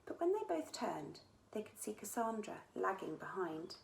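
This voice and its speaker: A woman author reading her own children's adventure book aloud in an animated storytelling style. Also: mid-range voice, moderate pace, creaky. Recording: echoey room.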